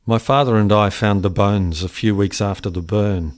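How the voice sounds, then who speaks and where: An actor playing a haunted farmer's son in a radio play, telling of a buried secret in a solemn, measured way. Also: male voice, fairly low pitch, fairly even intonation, natural voice.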